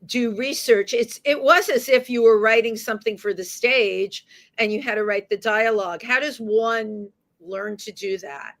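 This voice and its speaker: A woman's voice: fairly high pitch, normal speed, fairly bright tone. A woman from the Bronx, hosting a radio interview in a chatty, rambling way. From the Bronx, New York. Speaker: radio talk show host.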